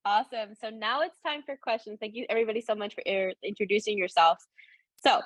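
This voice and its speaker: A woman's voice: medium pitch, quick pace, dry recording. Cheerful woman host, dry sound. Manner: cheerful, encouraging. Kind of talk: hosting a video call.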